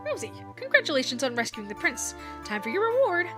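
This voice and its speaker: A hoarse woman voicing a cheerful old male toy king from a cartoon game, upbeat and matter-of-fact. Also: high-pitched, huge pitch swings, a little fast, over music. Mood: chipper.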